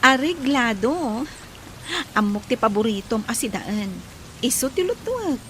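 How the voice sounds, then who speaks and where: A woman as a high-voiced, sweet Ilocano sweetheart. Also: big pitch swings, a little slow, fairly bright tone, a little hiss or hum. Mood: affectionate. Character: sweet young village sweetheart, affectionate and playful. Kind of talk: Ilocano radio drama acting.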